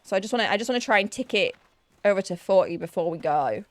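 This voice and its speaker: A woman's voice: medium pitch, animated, fast talker, dry recording. A young woman with a Yorkshire accent, chatting casually and thinking aloud while streaming an online game. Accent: Yorkshire English, with some Newcastle influence. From Yorkshire, England. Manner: chatty, thinks aloud, self-deprecating, frequent restarts.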